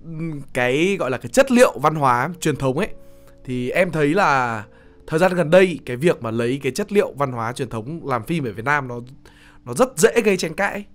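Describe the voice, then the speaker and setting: A young man with a northern Vietnamese accent interviews conversationally as a podcast host, phrasing his questions haltingly. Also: on the high side, lively intonation. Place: small studio. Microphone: close studio microphone. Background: soft plucked guitar music.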